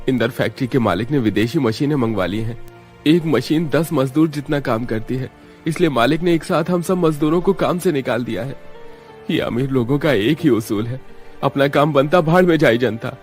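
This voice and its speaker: A man's voice: mid-range voice, darkish tone, close to an everyday voice. A man voices an aggrieved working man in a cartoon drama, bitter and indignant. Music runs under his voice. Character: bitter, indignant laid-off factory worker.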